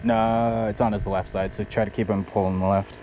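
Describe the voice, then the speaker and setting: A man guiding a fishing trip, excitedly narrating catches and laughing often, recorded on an action camera out on a boat. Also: on the low side, a little fast. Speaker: fishing guide filming his charter. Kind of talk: casual on-camera fishing commentary. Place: small boat on open shallow flats, outdoors. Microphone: head-mounted action camera. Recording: wind and water noise outdoors.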